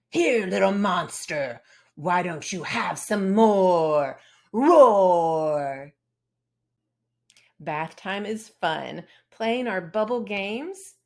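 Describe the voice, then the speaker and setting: A woman hosting a read-aloud, narrating a children's storybook. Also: mid-range voice. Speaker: read-aloud channel host.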